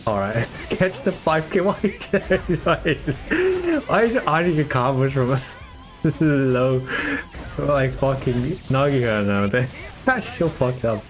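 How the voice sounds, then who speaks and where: A man's voice: mid-range voice, sing-song, a little slow, soft background music. A man from Germany, the tournament organizer, giving casual, rambling live commentary on his own and chatting with viewers. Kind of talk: solo live esports commentary.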